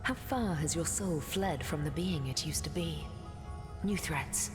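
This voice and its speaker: A solemn weaver of time from a fantasy game. The voice is low and breathy, with slow, portentous phrasing over clear music. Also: female voice. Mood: wondering, portentous. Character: mystical female oracle who weaves time, solemn and cryptic. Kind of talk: fantasy game character dialogue.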